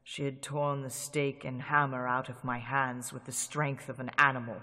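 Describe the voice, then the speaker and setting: A shaken witness, played by a man, narrates in a flat, monotone voice. His tone is bright and tense. A long reverb hangs on his words. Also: medium pitch, normal speed. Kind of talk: radio drama narration.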